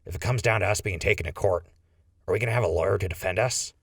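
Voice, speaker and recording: A man playing a wry, scheming ghoul who leads a crew, smooth-talking and gravelly, in a post-apocalyptic audio drama. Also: low voice, fast talker, fairly bright tone, no room sound, studio quality, full character voice. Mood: coaxing.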